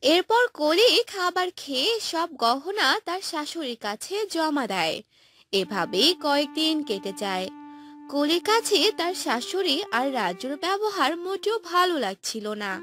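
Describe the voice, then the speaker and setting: A woman's voice: sits high, sing-song, a little slow, a bit bright, soft background music. A woman narrating a scripted cartoon story as its storyteller.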